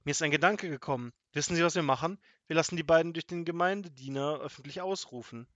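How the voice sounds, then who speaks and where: A man voicing a pompous, irascible village police sergeant in a children's storybook, gruff and emphatic. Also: medium pitch, fairly quick, no echo, dull audio, close to an everyday voice. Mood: exasperated, emphatic. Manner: gruff, pompous, shouting in outrage.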